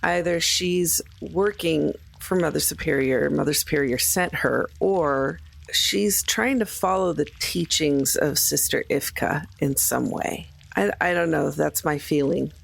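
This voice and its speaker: A woman takes part in a tabletop game with strong vocal fry. She speaks casually as her character, reasoning things through aloud. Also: deep voice, a little slow. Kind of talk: casual improvised in-character talk.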